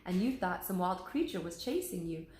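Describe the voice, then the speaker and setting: A woman's voice: on the low side, expressive, reverberant, a little hiss or hum, lightly acted. A woman voicing a worried, thoughtful older man in a storybook reading. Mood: measured. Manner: slow, measured, hushed.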